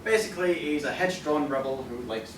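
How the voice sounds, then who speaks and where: A man's voice: fairly high pitch, fairly quick. A man casually organizing a panel as host, explaining things a little hesitantly. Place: indoor convention panel room. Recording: echoey convention room, distant noisy mic.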